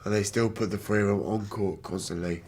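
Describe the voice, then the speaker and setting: A British man in his twenties with a rough, damaged voice, speaking candidly as a wheelchair rugby player in an interview. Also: on the low side, steady tone, fairly quick, darkish tone. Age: 20s. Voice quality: damaged, rough voice from throat surgery. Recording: background noise on location.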